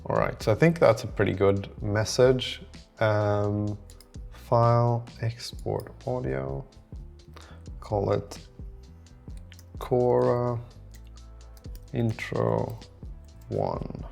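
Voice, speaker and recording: A man talking casually and haltingly as he thinks aloud through his work in a vlog. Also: on the low side, expressive, vocal fry, darkish tone, soft background music. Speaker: app developer documenting his work.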